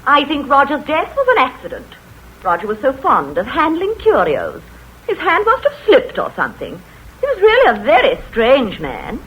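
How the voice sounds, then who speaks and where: A woman's voice: sits high, wildly swooping pitch, moderate pace. An actress playing an indignant, snobbish older mother who complains in a huffy, theatrical way, in a 1940s radio drama. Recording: dull old radio broadcast recording.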